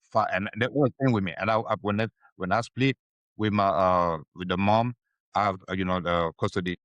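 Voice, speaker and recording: A man's voice: low voice, steady tone, brisk, a bit bright, no echo, highs cut off. A man from Ivory Coast with an Ivorian French accent, a native French speaker, answering interview questions hesitantly with many fillers. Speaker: podcast guest. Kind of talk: casual interview talk.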